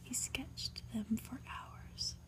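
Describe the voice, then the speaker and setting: A woman softly reading a story aloud in an ASMR style, in a gentle, measured manner. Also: poor audio. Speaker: ASMR reader.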